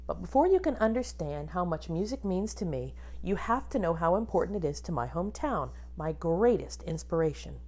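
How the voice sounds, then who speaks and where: Animated woman reading to children. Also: mid-range voice. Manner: animated, addresses young children with questions. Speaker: teacher reading aloud to pupils. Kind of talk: expressive children's picture-book read-aloud with asides to pupils.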